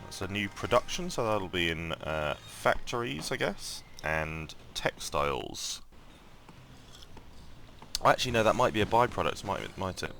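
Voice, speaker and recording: A man with a croaky morning voice giving casual gameplay commentary, thinking aloud and rambling with lots of ums and restarts. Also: deep voice, big pitch swings, unhurried, sharp tone, a little hiss or hum. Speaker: mobile game let's-play host.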